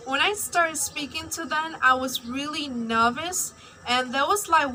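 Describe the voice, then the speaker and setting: A young woman from Myanmar with a bright, high voice, whose first language is Burmese. She talks in an easy, chatty vlog manner, leaning on fillers like "like." Soft music plays faintly underneath. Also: rough recording. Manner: chatty, uses many fillers like "like". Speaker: language-learning vlogger. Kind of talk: casual personal vlog.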